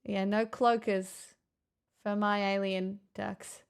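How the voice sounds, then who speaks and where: A woman's voice: mid-range voice, little pitch movement, slow pace, vocal fry, clean tone. A woman livestreamer chatting casually with her viewers, playful, teasing and self-mocking. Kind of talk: casual stream chat with viewers.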